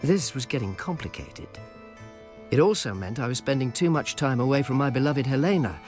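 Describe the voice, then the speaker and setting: An actor playing a sardonic Roman informer who narrates in the first person. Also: male voice, mid-range voice, lively intonation, brisk, a little mellow, soft background music, natural voice. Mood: wry. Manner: dry, self-mocking.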